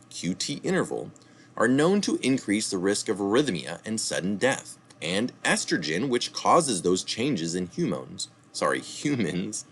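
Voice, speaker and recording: Male reader, low voice with a lively pitch, faint background hiss; steady article reading with asides. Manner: reads steadily, adding asides and correcting slips. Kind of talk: reading an article aloud with spoken citations.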